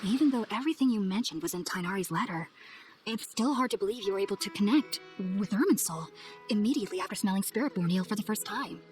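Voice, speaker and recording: A woman playing an earnest, polite young scholar in a video game, speaking in a formal, measured, articulate way. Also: medium pitch, lively intonation, faint music behind, close to an everyday voice. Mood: earnest, explaining. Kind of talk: video game cutscene acting.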